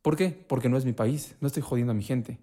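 A man with a Mexican accent, talking in the middle of his pitch range. He chats in a slangy, profane way, punching up quoted lines with animated energy. The style is a relaxed podcast conversation. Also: fast talker. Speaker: podcast co-host. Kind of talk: casual conversation.

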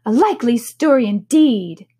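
A woman's voice: high-pitched, big pitch swings, a little slow, voice pushed up. A woman voicing a frantic, suspicious mother pigeon in a storybook, scolding and complaining indignantly. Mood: scornful. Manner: shrieking, indignant, contemptuous complaining. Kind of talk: storybook character voice.

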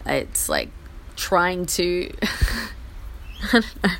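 An Australian woman in her forties, husky from a chest infection, rambling through a self-deprecating update from a small outdoor patio. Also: on the low side, huge pitch swings, extremely slow, a bit bright, a little hiss or hum. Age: 40s. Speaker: podcast host. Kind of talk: casual personal update. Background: faint rain.

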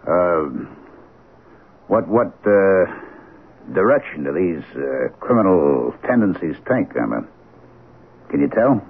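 An actor playing a folksy, gently skeptical man in a radio mystery drama, speaking haltingly in a husky, gravelly voice. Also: deep voice, big pitch swings, heavily acted. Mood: uneasy. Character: folksy, open-minded, gently skeptical male confidant named Wiley. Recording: tinny old radio broadcast.

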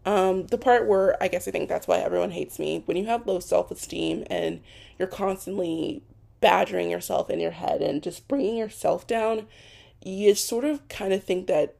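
A woman's voice: lowish voice, moderate pace, creaky, darkish tone. A young woman hosting a solo podcast, talking off the cuff with many restarts, as she starts to lose her voice. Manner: rambling, with frequent restarts and ums. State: losing her voice. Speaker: podcast host. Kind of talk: unscripted solo podcast monologue. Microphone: home recording for an audio podcast.

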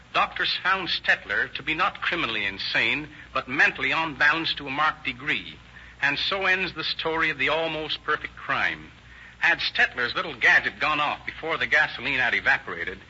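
Sharp, raspy police captain. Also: male voice, on the high side, heavily acted. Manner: formal, declarative. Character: stern male police captain summing up a case. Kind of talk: scripted 1930s radio narration. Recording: muffled old 1930s radio recording.

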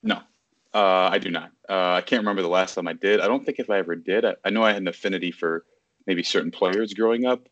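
A man's voice: low-pitched, fast talker, a bit bright, dry recording, dull audio. A man in his thirties from Virginia, a baseball journalist, talking casually from his city apartment and often correcting himself mid-sentence. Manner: rambling, self-correcting, lots of fillers. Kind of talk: casual interview on a video call.

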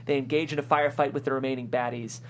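A woman reading screenplay stage directions as lively action narration, punching out the dramatic effect words. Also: extremely low pitch, rapid-fire, a little hiss or hum.

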